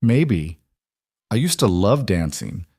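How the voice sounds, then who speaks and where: A man voicing a guarded young maid in an audio romance story, soft-spoken, hesitant and evasive. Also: on the low side, very animated, slow pace, breathy edge, no echo, clean studio sound, heavily acted. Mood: guarded, wistful. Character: guarded young woman, quiet maid hiding her past.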